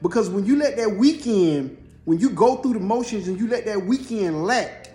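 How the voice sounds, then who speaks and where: High-pitched American man in an echoey room. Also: animated, normal speed, dark tone, soft background music. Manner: repetitive, emphatic phrasing. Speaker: co-host giving marriage advice. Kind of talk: casual motivational storytelling with analogies. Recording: roomy echo.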